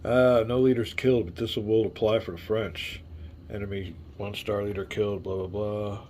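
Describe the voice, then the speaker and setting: A man hosting a casual board game playthrough, rambling and chatty, muttering rules half under his breath and sounding a little hoarse. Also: fairly low pitch, fairly even intonation, moderate pace, a little mellow, slight background noise. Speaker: board game video host. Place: home room with game tables.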